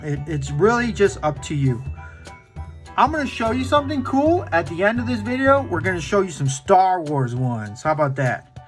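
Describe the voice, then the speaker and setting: A man's voice: high-pitched, big pitch swings, moderate pace, soft background music. A man hosting a children's drawing lesson, talking to kids directly in a playful, encouraging way. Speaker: children's drawing tutorial host.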